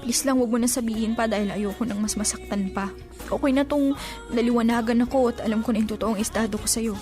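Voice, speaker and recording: Actress as a high-voiced lovesick young woman. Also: steady tone, quick pace, music in the background, close to an everyday voice. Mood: hurt, resigned. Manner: emotional, pleading. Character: lovesick young woman, insecure, confessing unrequited love. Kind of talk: Filipino radio drama acting.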